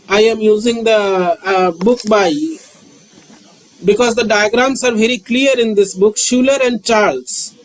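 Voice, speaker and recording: A man, lecturing on physics and repeating key terms with frequent pauses. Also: high voice, poor audio. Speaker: physics teacher. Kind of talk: slow, repetitive classroom lecture.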